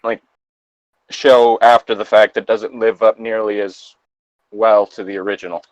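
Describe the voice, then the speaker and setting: A man's voice: on the low side, level tone, normal speed, no room sound. A man doing a comic read-aloud with casual commentary, irreverent and sardonic, on a slightly muffled mic. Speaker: co-host and reader.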